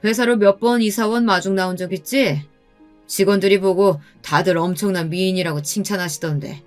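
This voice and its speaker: A woman's voice: mid-range voice, steady tone, a little fast, a little mellow, faint music behind, natural voice. A woman voicing a condescending, overly familiar middle-aged male company boss in a radio-style story. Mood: smug, presumptuous. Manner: smug, presumptuous, talking down.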